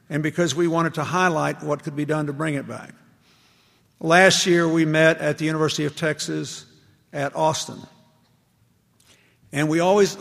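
Man, gravelly voice, public lecture, earnest storytelling, echoey large hall. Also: on the high side. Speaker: public speaker addressing university students.

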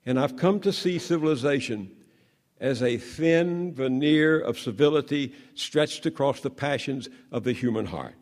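A man in his seventies from the American South, a journalist giving a measured speech with dramatic pauses that trail off to a low hush. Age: 70s.

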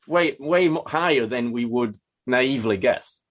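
A man with a Swiss accent moderating an academic panel's questions, halting with many restarts. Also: medium pitch, expressive.

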